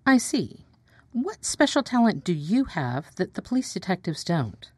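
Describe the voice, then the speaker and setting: A woman acting as a brisk, formal female news presenter in a radio play. Her delivery is pointed and challenging, with very animated pitch in a dry studio sound. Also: breathy edge, dry recording, full character voice. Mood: probing.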